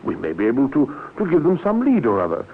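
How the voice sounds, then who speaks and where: An actor playing a benign, courteous English gentleman in a 1950s radio drama, mild and slightly hesitant in manner. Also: medium pitch, huge pitch swings, fast talker. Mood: mild concern. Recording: tinny, noisy old radio recording.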